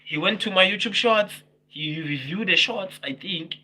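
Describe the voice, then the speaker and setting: A man's voice: sits high, normal speed, pushed and bright, poor audio. A man in his twenties chatting casually with viewers on a livestream, teasing them, laughing often and repeating himself. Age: 20s. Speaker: livestream host.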